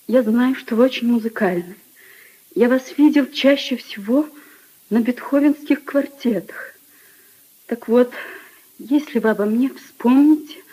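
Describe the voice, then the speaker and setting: An actress in a 1950s Soviet radio drama playing a tender, grieving young noblewoman, with a hushed, emotional, drawn-out delivery. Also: fairly high pitch, slightly rough, rough recording.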